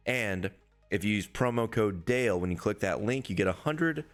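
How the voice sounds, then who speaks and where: A hoarse man, a young adult, hosting a casual sports-betting preview and swearing freely in a loose, rambling way. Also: on the low side. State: hoarse, losing his voice. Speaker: sports betting podcast host.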